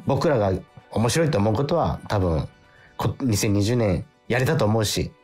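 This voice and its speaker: A man hosting a radio show, chatting casually and reading listener letters aloud in a halting, repetitive way. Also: fairly low pitch, animated, a little mellow. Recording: music playing behind. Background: background music.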